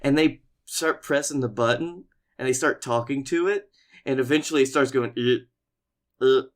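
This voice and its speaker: A man's voice: medium pitch, moderate pace. A man co-hosting a comedy podcast, bantering casually.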